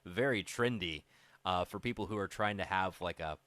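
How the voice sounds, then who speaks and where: A man's voice: low voice, moderate pace, fairly bright tone, no echo. A man hosting a conversational radio interview, explaining things in an informal, reflective way. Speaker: radio show host.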